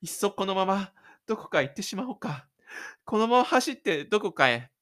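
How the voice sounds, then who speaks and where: A man plays a stubborn high school boy in a radio drama, his voice lifted above a grown narrator's. He exclaims his private thoughts between breaths, sounding like a shy loner who has bolted from class. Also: very animated, a little fast, fairly bright tone. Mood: determined, breathless. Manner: breathless, exclaiming inner monologue.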